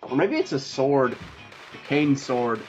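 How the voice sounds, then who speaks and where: A man with a medium-pitched voice chats casually while commentating on a video game with others. Game music is clearly heard behind his informal talk. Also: big pitch swings, slow pace, lo-fi sound. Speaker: gaming commentator. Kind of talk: casual group playthrough commentary.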